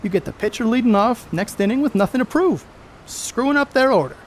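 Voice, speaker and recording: A man acting a brash, high-pitched cocky slugger. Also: animated, fairly quick, a little hiss or hum. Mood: scornful, blustering. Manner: blustering, folksy slangy talk, swearing. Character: brash, cocky, profane star baseball slugger, 1930s. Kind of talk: amateur stage play acting.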